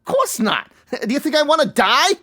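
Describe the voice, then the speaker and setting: A woman's voice: high voice, very animated, normal speed, bright, forceful tone, full character voice. A woman playing a nervous, whiny male hoodlum terrified of germs in a comedic radio-style crime drama. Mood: panicked. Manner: fretful, stammering, streetwise grammar. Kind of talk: comedic old-time radio crime acting.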